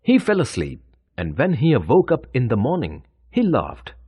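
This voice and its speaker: A man reading a scripted children's bedtime story as an expressive, deliberate storyteller. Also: mid-range voice, husky, dry recording.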